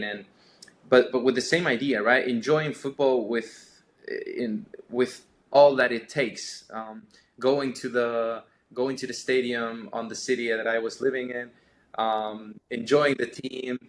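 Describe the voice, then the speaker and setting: A young man with an Argentine Spanish accent, a Spanish native speaker, answering podcast questions in a halting way with many repeats and fillers. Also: medium pitch, little pitch movement, a little hiss or hum. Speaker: podcast guest, sports scientist. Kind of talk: casual interview answers.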